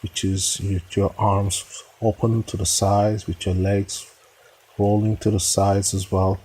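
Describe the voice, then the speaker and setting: Slow, husky, low-voiced man guiding meditation. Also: flat delivery, dark tone. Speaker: guided meditation teacher. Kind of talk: guided meditation narration.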